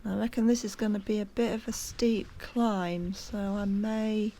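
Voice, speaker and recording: A woman's voice: medium pitch, flat delivery, measured pace, mellow, slight background noise. A woman narrating a casual walking tour, chatty and hesitant with plenty of ums, at times out of breath from climbing. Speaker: walking vlogger. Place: outdoors on a hillside.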